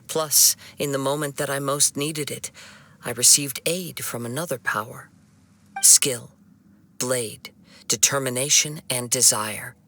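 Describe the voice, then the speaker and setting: Young male swordsman for a game: bright, slightly gravelly, solemn, measured, compassionate. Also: medium pitch, fairly even intonation, a little hiss or hum. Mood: solemn, composed. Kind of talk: video game voice acting.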